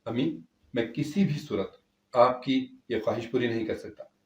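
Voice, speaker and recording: A man's voice: medium pitch, moderate pace, lightly acted. A man voicing a firm, earnest young son and unwilling groom in a dramatic story. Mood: pleading defiance. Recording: echoey, distant from the mic.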